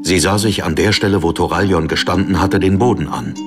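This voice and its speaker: A man's voice: low-pitched, brisk, over music. A man reading scripted dramatic narration for a fantasy audiobook.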